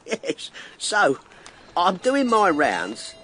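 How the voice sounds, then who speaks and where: A man's voice: high-pitched, wildly swooping pitch, extremely slow, pushed and bright, slight background noise, full character voice. A man acting an earthy, wry and plaintive working-class Londoner of plague times in a radio drama, talking colloquially. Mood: wry storytelling.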